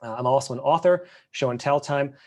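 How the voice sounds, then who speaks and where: A man's voice: medium pitch, darkish tone. A man speaking as a finance panelist on a webinar over a video call, earnest and prone to restarts. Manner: fast, earnest, with repeated restarts.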